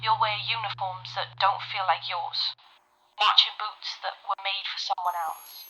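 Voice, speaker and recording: A woman playing a solemn, compassionate 1930s British television announcer in an audio drama, poised and deliberate. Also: medium pitch, steady tone, music in the background, lightly acted, poor audio. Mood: solemn, consoling.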